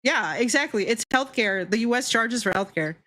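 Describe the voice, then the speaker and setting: A woman with a fairly high voice hosts a casual livestream. She talks to her viewers in an animated, opinionated manner and swears freely as she goes. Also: moderate pace. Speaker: livestreamer hosting a Q&A.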